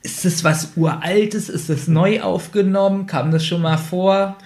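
A man speaks in a fairly high voice. He is a podcast co-host, offering his impressions in loose, casual chat. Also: steady tone, unhurried, a little mellow.

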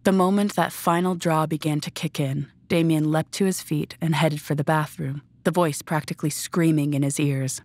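A woman narrates a scripted story in a deep register for her sex. The sound is dry, with next to no room in it. She reads as the narrator of an audio drama. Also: steady tone, moderate pace, hardly any pauses, a little mellow, no room sound.

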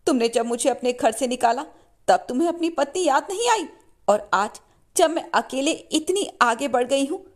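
A woman acts out a wronged young village wife in a cartoon story. She pleads plaintively and urgently in a high voice, with animated pitch. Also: moderate pace. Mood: hurt, reproachful.

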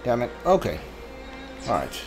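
A man's voice: medium pitch, wildly swooping pitch, measured pace. A man doing casual game commentary, thinking aloud in short, muttered remarks as he plays. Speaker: let's play streamer. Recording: game music under voice. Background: video game music and sounds.

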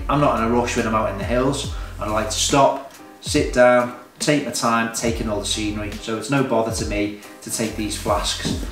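A man speaks to camera in an informal, advisory way, like someone instructing beginners. He is recorded indoors at some distance from the microphone, so the room echoes around his voice. Faint music sits underneath. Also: mid-range voice. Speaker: hiking vlogger giving beginner tips. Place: indoors, small home room at a table. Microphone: camera a few metres away.

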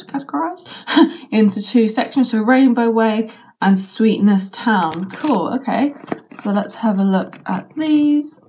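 A young woman with a British accent and a croaky voice from a sore throat, chatting casually while unboxing a toy, with lots of ums and likes. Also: mid-range voice, a little mellow, slight background noise, low-quality recording. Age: young adult. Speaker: toy reviewer. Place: bedroom with toy shelves, indoors.

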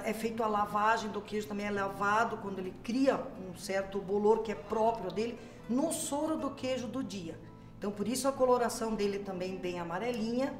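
A middle-aged woman, earnestly explaining how her cheese is made in a small dairy. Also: mid-range voice. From Brazil. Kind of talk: casual explanation. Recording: echoey tiled dairy room.

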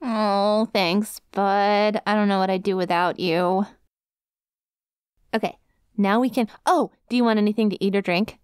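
A woman's voice: medium pitch, fairly even intonation, measured pace, a little mellow, clear, resonant tone, no echo, clean studio sound, natural voice. A woman voicing a friendly, scatterbrained dog-girl student in an ASMR roleplay, with a casual, rambling, easily sidetracked manner. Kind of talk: ASMR roleplay, one-sided conversation with listener.

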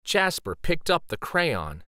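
A man reading a children's story aloud dramatically, with theatrical, suspenseful pauses. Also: fairly high pitch, huge pitch swings, pushed and bright, no room sound, clean studio sound.

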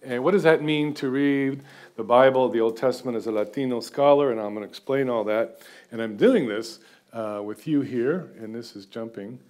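A man's voice: mid-range voice, moderate pace, a little mellow. A man in his sixties giving an informal, chatty seminary lecture from a lectern, self-deprecating and full of filler sounds. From United States, half Guatemalan. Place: lecture room with audience. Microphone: far camera, standing at lectern.

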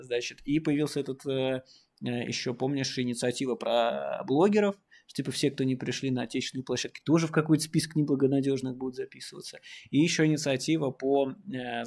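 A man's voice: medium pitch, fairly even intonation, fairly quick, a little mellow. A man co-hosting a live stream, talking in a rambling, ironic way with many fillers. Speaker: stream co-host. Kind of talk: casual political chat.

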